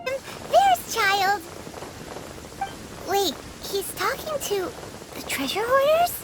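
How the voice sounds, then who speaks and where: Childlike little sidekick: piercingly high, animated, unhurried, video game dialogue with prominent music. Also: female voice, heavily acted, rough recording. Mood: puzzled. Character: squeaky excitable little childlike companion. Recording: loud game music behind.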